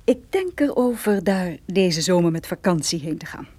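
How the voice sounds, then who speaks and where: Radio-drama female investigator: slightly low voice, poised, knowing, quietly seductive. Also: lively intonation, moderate pace. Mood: flirtatious, knowing. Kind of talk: Dutch radio drama acting.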